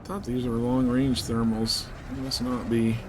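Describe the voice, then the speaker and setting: A man's voice: mid-range voice, flat delivery, measured pace, background noise. A man live streaming a space game, giving casual commentary and thinking aloud to himself and his viewers.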